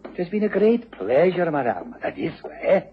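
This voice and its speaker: Radio villain: gloating, falsely gallant blackmailer, swinging lively pitch, dull noisy old recording. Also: male voice, moderate pace. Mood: mock-courteous. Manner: mock-courteous, gloating. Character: smug, menacing, gallant blackmailing villain, a foreign gentleman. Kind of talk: radio drama acting.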